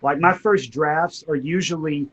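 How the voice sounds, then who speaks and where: A man's voice: a little high, unhurried, a bit bright, slightly rough, dull audio. A man, a writer and narrator, casually explaining and reflecting on his creative process. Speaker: writer and narrator of audio drama.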